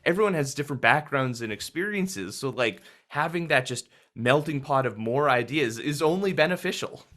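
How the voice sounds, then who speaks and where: A man hosting a casual interview, asking questions with a hesitant manner and many pauses and restarts. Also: medium pitch, expressive, a bit bright. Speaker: interview host.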